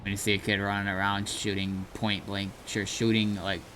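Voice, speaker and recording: A man streaming a game as an admin, chatting casually in a halting, explanatory way with some swearing. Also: on the low side, completely flat delivery, noisy background.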